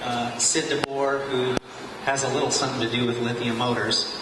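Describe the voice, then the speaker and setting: A man's voice: medium pitch, little pitch movement, normal speed. Man speaking in an echoey showroom. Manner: informal, with frequent "uh" fillers. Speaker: wealth advisor hosting an event. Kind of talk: welcome speech at an evening event. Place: large echoey venue, a car showroom. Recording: echoey hall from far off, noisy.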